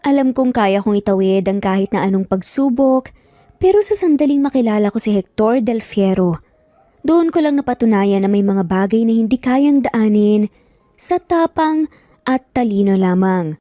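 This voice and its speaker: A woman's voice: mid-range voice, expressive, normal speed, mellow, no echo. A woman with a Filipino accent telling a story in scripted, dramatic narration.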